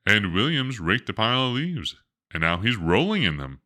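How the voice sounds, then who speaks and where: A man's voice: on the low side, sing-song, moderate pace, sharp tone, pitched above the performer's own voice. A man voicing a weary, worried storybook mother in a plaintive, resigned manner. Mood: reporting.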